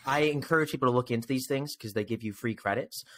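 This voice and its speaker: A man's voice: mid-range voice, fast talker, fairly bright tone. A man in his twenties hosting a casual interview, asking earnest, wordy questions. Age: 20s. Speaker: podcast host. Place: small room, indoors. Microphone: close desktop microphone, video call.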